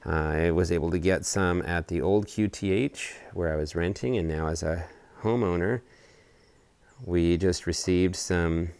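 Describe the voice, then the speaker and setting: A man presenting a casual explanatory hobby video update outdoors, talking in deliberate, measured phrases. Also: sits low, smooth, dry recording. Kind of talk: casual explanatory vlog talk. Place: outdoors on a house deck.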